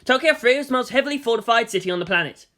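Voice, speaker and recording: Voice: mid-range voice, expressive, very fast, a bit bright. A narrator reading a story aloud in a dramatic, scripted audiobook style.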